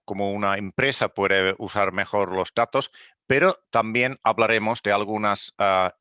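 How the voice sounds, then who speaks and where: A man from Finland gives a keynote talk in a language he learned later. His voice sits a little low but sounds bright, and he pauses on fillers and restarts phrases as he goes. The sound is close and dry. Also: no echo. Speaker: keynote speaker. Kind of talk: conference presentation.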